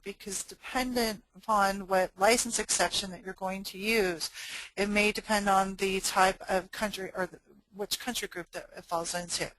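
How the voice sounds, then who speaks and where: A woman's voice: mid-range voice, a bit bright, no echo, rough recording. A woman trade compliance consultant presenting a webinar, walking listeners through regulations step by step. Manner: explanatory, instructive.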